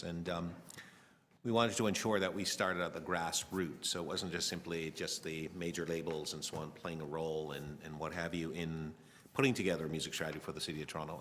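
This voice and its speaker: A man with a very deep voice chairs a council committee, moving things along in a brisk, formal manner. His words ring out with the long reverb of a council chamber, over a faint hum of background noise. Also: a bit bright.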